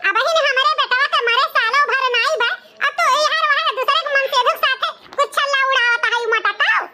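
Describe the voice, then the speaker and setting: A performer with a pitched-up, squeaky voice and an eastern Uttar Pradesh accent, voicing a melodramatic, complaining village woman in a comedy cartoon. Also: fairly quick, background noise. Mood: scolding, accusing. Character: scolding village mother-in-law and wronged widowed daughter-in-law, cartoon women.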